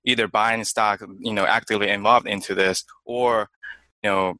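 A young man from China, guest in an interview, answering in a halting way with many fillers and restarts. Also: lowish voice, steady tone, bright, forceful tone, no room sound, poor audio. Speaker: investment manager guest.

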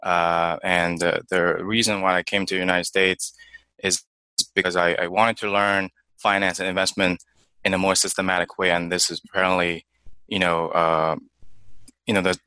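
A young man from China, guest in an interview, answering in a halting way with many fillers and restarts. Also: deep voice, sharp tone, clear, resonant tone, dry recording. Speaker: investment manager guest.

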